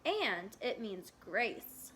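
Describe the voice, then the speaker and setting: A woman voicing a kindly, courteous male shopkeeper in a storybook, speaking in a measured, helpful way. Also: medium pitch, very animated, bright, forceful tone, slight background noise, heavily acted. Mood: welcoming.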